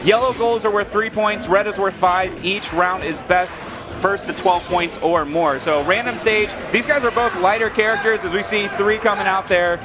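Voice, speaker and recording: A man giving live play-by-play as an esports tournament commentator, excitable and hyping up the players. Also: sits high, moderate pace, background noise.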